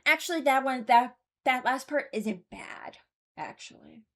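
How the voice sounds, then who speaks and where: A woman's voice: sits high, expressive, measured pace. A young woman giving casual, unscripted commentary as a video host, rambling and hesitant as she thinks aloud. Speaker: video host ranking game voice lines.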